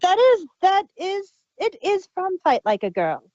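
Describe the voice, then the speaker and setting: A woman's voice: extremely high pitch, big pitch swings, a little slow, warm tone, no echo, lo-fi sound. A woman co-hosting an audio drama review podcast, talking in a thoughtful, earnest way. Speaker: podcast co-host. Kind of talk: casual review chat.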